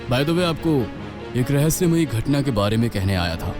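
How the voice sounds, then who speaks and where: A man acts out a fearless, inquisitive police inspector in a horror audio story. His mid-pitched voice swings dramatically as he questions everything. Music plays clearly behind him. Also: sing-song, moderate pace, close to an everyday voice. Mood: suspicious, resolute. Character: bold, inquisitive male police inspector investigating a haunting.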